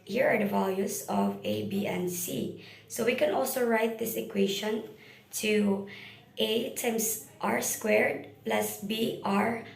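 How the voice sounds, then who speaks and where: A hoarse young woman presenting a math lesson step by step as a student reporter. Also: mid-range voice, expressive, roomy sound. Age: young adult. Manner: unhurried, step-by-step explaining.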